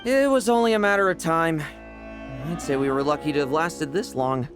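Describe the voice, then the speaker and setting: A man's voice: fairly high pitch, moderate pace, lightly acted. Game cast: a man voicing a ruthless schemer, cool and commanding, music under. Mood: cold. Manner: cold, commanding, coolly confident. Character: ruthless male schemer, cold and reckless. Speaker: game character. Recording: game audio with music behind.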